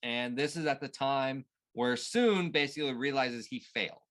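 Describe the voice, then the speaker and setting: A man in casual conversation as a co-host, recapping a story in a halting way and searching for words. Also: mid-range voice, very animated, a bit bright. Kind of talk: casual chat recapping a TV show.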